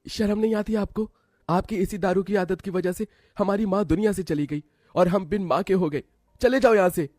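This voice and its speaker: A man's voice: high-pitched, normal speed, darkish tone, dry recording, studio quality. A man voicing a self-sacrificing, protective young brother from a poor family in a cartoon story, earnest and emotional. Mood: angry.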